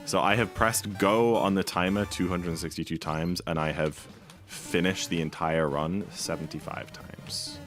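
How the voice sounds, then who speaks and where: Young man, very low voice, slightly hoarse, rambling casual chat, game music behind. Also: steady tone, a little slow. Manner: rambling, laid-back, many fillers. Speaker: speedrun streamer. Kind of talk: casual live-stream chat.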